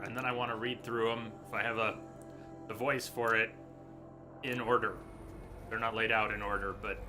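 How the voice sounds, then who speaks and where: A man hosts a game livestream and chats casually with viewers. His voice is mid-range, with game music clearly audible behind him. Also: medium pitch. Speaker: game streamer.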